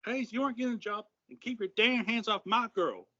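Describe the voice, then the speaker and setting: A man's voice: sits high, fairly quick, pushed and bright, no echo, lo-fi sound. A man playing an angry, jealous boss who scolds crudely in a comedic audio play. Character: angry, jealous, foul-mouthed male boss.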